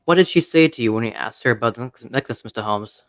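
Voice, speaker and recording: A man plays a young English gentleman, lovesick and upset, in a radio drama. He talks quickly in a medium-pitched voice. His manner is hurried and agitated. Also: lively intonation, lightly acted. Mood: upset, urgent.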